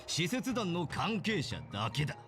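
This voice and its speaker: A man's voice: sits high, sing-song, fast talker, heavy background noise. A man voicing a stern investigator who questions suspects formally in a Japanese game. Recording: game dialogue over clear background music.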